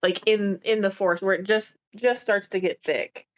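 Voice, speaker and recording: A woman's voice: mid-range voice, fairly even intonation, moderate pace. Slightly dull-sounding woman playing a tabletop game. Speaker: player in a tabletop roleplaying game. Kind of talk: casual game-table chat.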